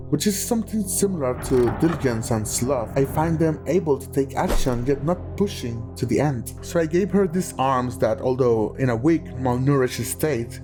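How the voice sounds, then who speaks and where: A man hosting and narrating an art video in scripted prose, with joking asides. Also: medium pitch.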